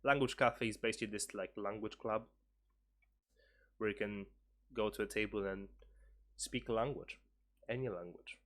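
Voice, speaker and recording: A fairly low-voiced man talks straight to camera in an easy, upbeat vlog style. He is earnest and a little halting, restarting phrases and dropping in fillers as he goes. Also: fairly bright tone. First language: Polish. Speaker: vlogger, university student. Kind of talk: casual talk to camera.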